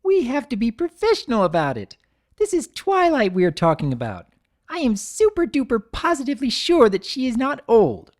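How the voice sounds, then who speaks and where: A woman's voice: on the high side, wildly swooping pitch, moderate pace, darkish tone, voice pushed up. A woman voicing a hyperactive, giggly young pony mare. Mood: giddy. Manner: bubbly, giggling.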